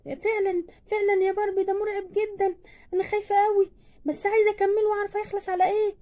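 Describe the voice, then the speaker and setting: Squeaky, frightened Egyptian little girl. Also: level tone, very fast, mellow. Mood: scared, curious. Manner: whiny, pleading, repeats herself. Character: frightened, clingy little girl, younger sister.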